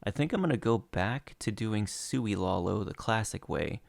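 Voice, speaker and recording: A young man talking over his game replays in a relaxed play-by-play, losing his voice and filling gaps with "uh". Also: on the low side, moderate pace, creaky, no echo. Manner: relaxed play-by-play with frequent uh fillers. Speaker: gaming channel host. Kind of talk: commentating over gameplay replays.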